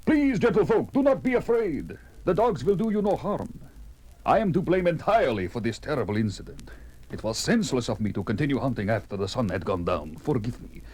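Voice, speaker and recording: An actor playing a courtly nobleman with hidden sinister intent, formal and theatrical in a 1970s horror audio drama, with a husky voice. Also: medium pitch, sing-song, brisk, darkish tone. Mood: gracious, insistent. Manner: formal, smooth-talking, theatrical.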